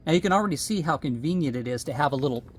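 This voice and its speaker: A man's voice: fairly high pitch, expressive, very fast, a little hiss or hum. A man hosting a product review, explaining things in a plain, unscripted way as he demonstrates.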